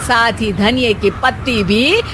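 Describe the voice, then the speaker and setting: A woman voicing a bossy, fault-finding Indian mother-in-law in an acted cartoon story, scolding and commanding, with sharp questioning tags. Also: a little high, slow pace, noisy background. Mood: nagging.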